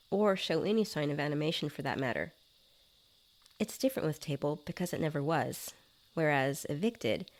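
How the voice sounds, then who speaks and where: A woman narrating her own written short story in the first person, in a scripted read-aloud style. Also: sits low.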